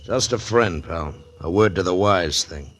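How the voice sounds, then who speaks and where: A big thug from a 1940s radio crime drama. His voice is low, and he delivers curt, slangy warnings with tough menace. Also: animated, a little slow, a bit bright, a little hiss or hum. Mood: threatening.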